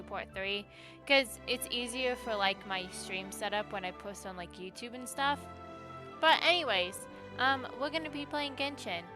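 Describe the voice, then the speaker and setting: Rambling, higher-pitched female game streamer. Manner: informal, rambling. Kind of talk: casual livestream commentary.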